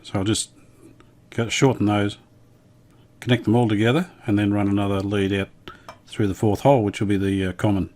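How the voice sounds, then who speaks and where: A man casually talking through a hands-on repair demonstration, unhurried and matter-of-fact, thinking aloud as he goes. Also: fairly low pitch, level tone, darkish tone. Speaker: hobbyist radio restorer presenting his video.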